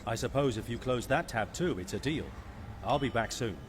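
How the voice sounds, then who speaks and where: A man's voice: mid-range voice, expressive, normal speed, heavy background noise, close to an everyday voice. A man playing a sardonic, easygoing mercenary pilot in a space video game, with a wry, casual manner. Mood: wry, weary.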